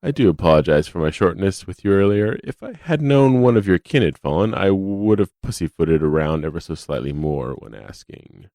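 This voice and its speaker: An arrogant FBI agent in a radio drama. His voice sits very low in a dry, close recording. He is condescending and sarcastic, wrapping crude insults in wordy, mock-polite phrases. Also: lively intonation, moderate pace, hardly any pauses, mellow, no echo. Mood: smug, mocking. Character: arrogant, sarcastic, foul-mouthed male FBI special agent.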